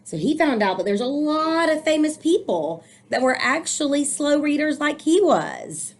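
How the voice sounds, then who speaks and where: A woman reads a storybook for young listeners in a slightly high voice. Her delivery swings expressively up and down. She has an encouraging, explanatory manner, like a teacher guiding children through the book. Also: big pitch swings, moderate pace. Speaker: teacher reading a picture book aloud. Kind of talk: storybook read-aloud with commentary for children.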